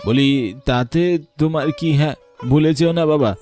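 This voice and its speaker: A man voicing a farm worker's practical grown son in a cartoon, brisk and plain-spoken, chiding, over music. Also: fairly high pitch, steady tone, a little mellow, natural voice. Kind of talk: cartoon voice acting.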